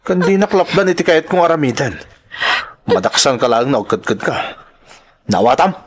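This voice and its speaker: A man's voice: a little high, moderate pace, breathy edge. A man playing a menacing, coercive uncle who threatens his young niece in an audio drama.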